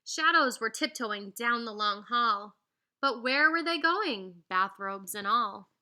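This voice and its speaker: A woman reading a children's picture book aloud in an animated, singsong storyteller's way, with teacherly asides. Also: on the high side, slow.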